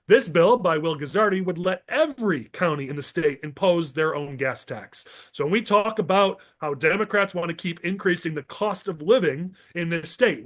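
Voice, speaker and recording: A male podcast host steers a political panel in a brisk, partisan way. His voice sits a little high and is wearing thin from talking. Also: a bit bright. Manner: brisk, partisan, moves through agenda. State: losing his voice.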